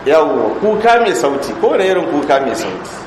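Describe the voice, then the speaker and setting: A man's voice: fairly high pitch, big pitch swings, fast talker. A Nigerian Hausa man, an Islamic scholar, teaching a religious text in a didactic way, reading and explaining and putting questions to his listeners. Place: large echoing mosque interior. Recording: echoey hall, distant reverb, clear background noise.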